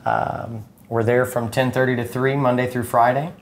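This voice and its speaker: A man's voice: mid-range voice, flat delivery, normal speed, slight background noise. A man in his thirties, a chef, casually explaining his food as a guest in a cooking demonstration. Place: indoor studio kitchen. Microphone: lapel mic.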